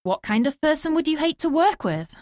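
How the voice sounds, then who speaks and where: Woman reading lesson dialogue, slow and clear, lots of pitch movement. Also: sing-song, darkish tone, dry recording, clean studio sound. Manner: slow, clearly spoken textbook delivery. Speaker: dialogue reader in a language lesson.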